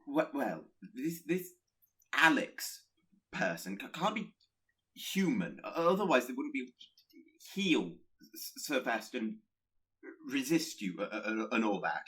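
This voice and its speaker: Male actor for timid pestilence entity, radio drama: heavy stutter, husky, pitch jumping about, eager to corrupt. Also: sing-song, pushed and bright, exaggerated voice. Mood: anxious. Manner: timid, halting, rambling. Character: nervous, eager male spirit of pestilence who delights in corruption. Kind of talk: radio play acting.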